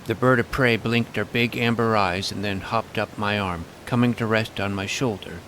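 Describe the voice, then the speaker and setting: A man's voice: fairly low pitch, fairly even intonation, moderate pace, a bit bright, a little hiss or hum, low-quality recording. A man narrating a comic fantasy adventure in the first person as its self-important young hero.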